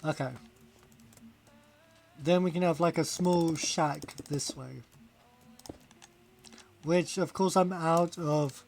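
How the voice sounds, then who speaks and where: A man's voice: on the high side, fairly even intonation, slow pace, gravelly, long pauses, darkish tone, slight background noise. A man chatting casually on a game stream, rambling, laughing often and reading chat aloud. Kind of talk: casual live-stream chat while gaming.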